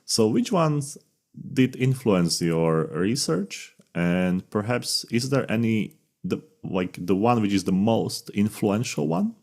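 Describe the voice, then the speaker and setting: A male podcast host asking questions in an academic interview, with slightly halting phrasing. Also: lowish voice, very animated, creaky, a little mellow.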